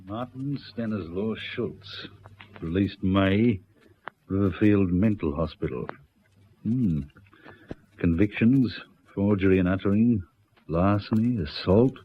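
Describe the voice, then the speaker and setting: No-nonsense police sergeant, lowish voice, blunt, deliberate, dull lo-fi radio drama sound. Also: male voice, slight background noise. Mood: musing, brisk. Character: firm, no-nonsense male country police sergeant.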